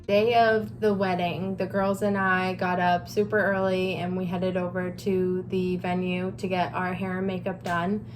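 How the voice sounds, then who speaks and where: A woman's voice: on the low side, little pitch movement, a little slow, few pauses, over music, poor audio. A young adult woman hosting a vlog, telling a personal story in a casual chat with her partner. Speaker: vlog host.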